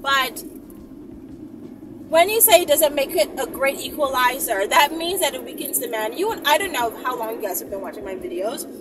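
A woman's voice: sits high, animated, normal speed. A woman, talking emphatically and sassily to camera in a casual, opinionated vlog, often tagging points with a questioning okay. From the Bahamas. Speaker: vlogger. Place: inside a moving car. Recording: distant mic, noisy moving car. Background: road and vehicle noise.

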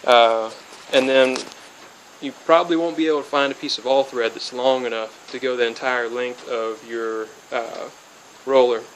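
A man in his twenties talks through a backyard build with an even, flat delivery at a middle pitch. He loses his thread often, filling gaps with "uh" and correcting himself. Faint crickets and insects hum behind the close camera mic. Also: normal speed, rough recording. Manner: rambling, many fillers and restarts, flat delivery. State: a bit sick and spacey. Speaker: DIY hobbyist. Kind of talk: casual how-to explanation. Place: outdoors by a car, then under a metal-roofed shed.

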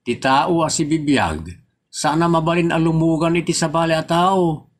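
Man acting a high-voiced, insistent Ilocano husband. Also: moderate pace, close to an everyday voice. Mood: worried. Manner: questioning, insistent. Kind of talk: comedy drama acting.

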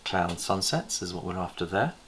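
A man's voice: sits low, animated, a bit bright, a little hiss or hum. A middle-aged man, hosting a chatty snake-breeding vlog from an indoor reptile room. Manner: chatty, enthusiastic, pauses to recall details. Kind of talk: casual show-and-tell vlog. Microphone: camera across a table.

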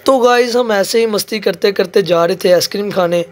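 A man's voice: sits high, brisk, noisy background. A man, chatting casually for a vlog on a phone outdoors. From Pakistan. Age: 20s. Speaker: vlogger. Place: outdoors on city streets at night. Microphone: phone at arm's length. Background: music.

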